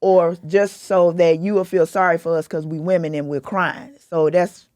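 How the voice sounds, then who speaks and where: A woman's voice: lowish voice, fairly even intonation, normal speed, a little mellow, no echo, studio quality. A woman hosting a casual podcast chat, direct and emphatic in how she makes her points. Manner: direct, emphatic, mimics others in quotes. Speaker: podcast host.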